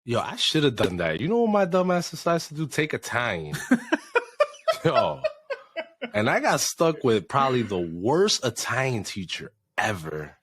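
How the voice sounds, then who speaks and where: A young father co-hosting a podcast in casual chat, swinging from low muttering to sudden shouts and swearing a lot. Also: wildly swooping pitch, a little slow, breathy edge, dry recording, clean studio sound. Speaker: podcast co-host.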